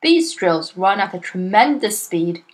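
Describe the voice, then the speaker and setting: Lady reading as a bragging shady car dealer dad, loud and smug, pitch jumps around. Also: very animated, measured pace. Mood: boastful. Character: boastful crooked male car dealer father.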